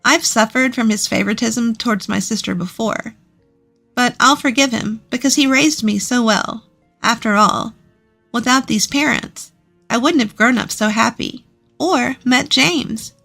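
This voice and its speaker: A woman's voice: on the high side, measured pace, creaky, a bit bright, faint music behind. A woman reading a scripted first-person story as its narrator.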